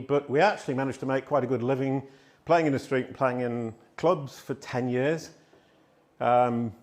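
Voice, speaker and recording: Loud, animated man giving a talk. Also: medium pitch. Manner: loud, animated, self-deprecating storytelling. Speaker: conference speaker. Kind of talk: live conference talk. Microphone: handheld microphone.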